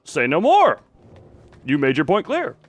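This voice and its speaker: Animated male game streamer. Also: on the high side, measured pace. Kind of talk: casual live game commentary. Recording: faint game music behind.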